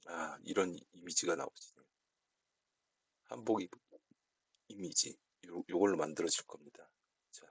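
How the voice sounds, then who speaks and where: Man, husky voice, close dry recording, polite step-by-step tutorial delivery, unscripted. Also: low-pitched, expressive, moderate pace, pauses often, no room sound. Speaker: software tutorial presenter. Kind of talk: casual screen-recording walkthrough, unscripted.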